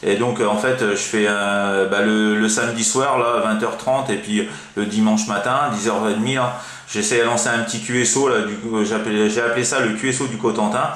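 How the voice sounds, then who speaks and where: A man's voice: on the low side, fairly even intonation, a little fast, fairly bright tone. A man chatting casually over CB radio as an amateur operator, rambling and hesitant, often repeating himself. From France. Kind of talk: casual radio contact chat. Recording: roomy, echoing room sound.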